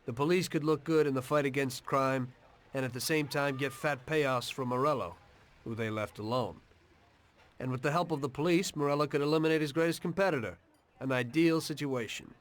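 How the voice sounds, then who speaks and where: A man's voice: medium pitch, close to an everyday voice. A man playing a weary, troubled gangster in a crime video game. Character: weary, troubled male gangster, former taxi driver. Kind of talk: confessional narration and dramatic game dialogue.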